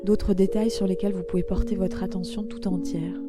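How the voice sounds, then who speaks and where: A woman guiding a relaxation meditation in a soothing, gentle way, leaving pauses between her invitations. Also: mid-range voice, level tone. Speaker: yoga nidra guide. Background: meditative music with ringing tones.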